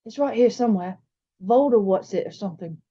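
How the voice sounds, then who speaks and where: A woman's voice: mid-range voice, expressive, a little fast, warm tone, voice pushed up, close to an everyday voice. A woman voicing a big, studious young boy in a storybook, serious and eager to learn. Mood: earnest, curious. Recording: slightly dull, lo-fi sound.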